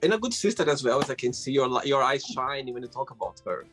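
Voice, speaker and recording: A man hosting a casual interview, asking questions and telling stories in a halting way with repeated words. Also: medium pitch, lively intonation, a bit bright. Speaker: host. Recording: faint music behind.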